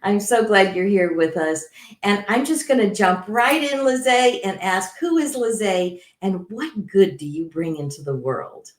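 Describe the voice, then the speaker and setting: A woman's voice: mid-range voice, lively intonation, a little slow. A welcoming woman hosting a podcast, introducing and interviewing a guest, with a roomy, echoing sound. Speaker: podcast host.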